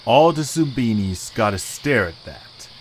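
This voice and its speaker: A man's voice: fairly low pitch, animated, measured pace, rough recording. Male narrator for an audio story, scripted read, light background noise with night insects.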